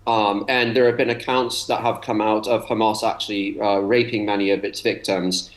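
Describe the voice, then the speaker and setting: A man speaks as a guest commentator on a live news panel. His voice is on the low side, and he makes his case in measured, articulate sentences. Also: level tone, poor audio.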